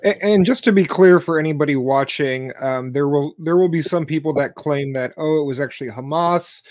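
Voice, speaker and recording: A young man in his twenties hosts an interview over a webcam from a room at home, eager, with frequent ums and restarts. His voice sits a little high. The sound is dry and close. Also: fairly quick, darkish tone, no echo. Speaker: host, interviewer. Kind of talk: interview hosting. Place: home room with bookshelves, indoors. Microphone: webcam on a video call.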